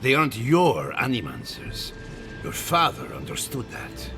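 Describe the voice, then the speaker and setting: An actor playing a wry, courteous old mentor with a gravelly voice in a video game. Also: male voice, mid-range voice, huge pitch swings, unhurried, long reverb tail, music in the background. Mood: protesting. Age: older. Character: gentle, wry old male mentor scholar. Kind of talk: video game dialogue acting.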